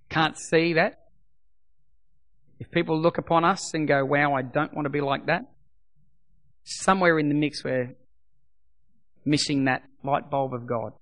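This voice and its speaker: A middle-aged pastor with a high, bright voice that has gone a little croaky. He preaches emphatically, hammering home repeated lines and then easing into quiet prayer. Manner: emphatic, swings from loud repeated exhortations to soft prayer. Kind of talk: sermon.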